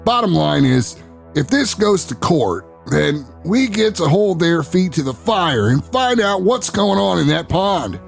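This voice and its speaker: A man playing a brash, hot-tempered ex-wrestler turned radio show host, gruff and plain-spoken, in an audio drama. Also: sits high, sing-song, a little slow, full character voice. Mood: confident, defiant. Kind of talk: audio drama narration and dialogue. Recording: music bed under the voice.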